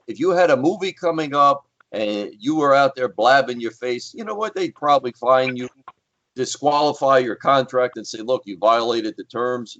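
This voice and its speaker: A man's voice: mid-range voice, a bit bright, dull audio. A man, a guest on a podcast panel, talks bluntly and emphatically and swears freely. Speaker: guest, former wrestler and actor. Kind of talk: casual podcast panel chat.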